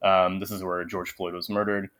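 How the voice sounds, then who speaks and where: A young man and teacher, a guest, talking in a soft, filler-heavy way over a remote call. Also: low-pitched, little pitch movement, normal speed. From Japan. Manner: soft-spoken with many filler ums. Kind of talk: casual interview conversation.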